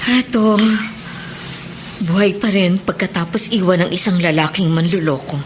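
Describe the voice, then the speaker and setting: A woman's voice: fairly low pitch, moderate pace, background noise, natural voice. An actress playing a wounded, bitter abandoned mother in a Filipino radio drama, emotional and reproachful. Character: wounded, bitter woman, abandoned mother seeking her child.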